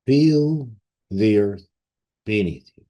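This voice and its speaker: A man with a fairly low voice guides a ceremonial meditation. He speaks very slowly, leaving long pauses between short phrases. His delivery is solemn and reverent, like a formal invocation. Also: animated, warm tone, lo-fi sound.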